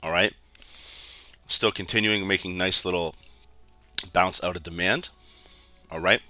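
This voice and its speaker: A man from Canada with a raspy, hoarse voice, giving casual live commentary on a trading stream and talking straight to his viewers. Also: deep voice, fairly even intonation, unhurried, sharp tone, dry recording, faint music behind. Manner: chatty, keeps addressing viewers as guys.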